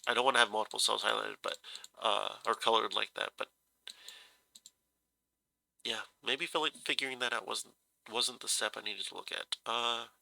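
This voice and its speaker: Brief: congested man solving a puzzle aloud, bright gravelly tone, dry close sound, halting and self-correcting. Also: lowish voice, steady tone, no echo, poor audio. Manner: hesitant, musing, self-correcting. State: stuffy nose, slightly scratchy voice from a cold. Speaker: puzzle solver.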